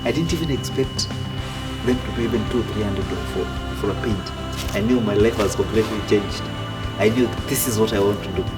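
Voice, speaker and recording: A man, telling his own story in a relaxed way with many fillers and restarts. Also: lowish voice, brisk. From Kenya. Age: 30s. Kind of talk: casual personal storytelling. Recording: distant mic with music behind.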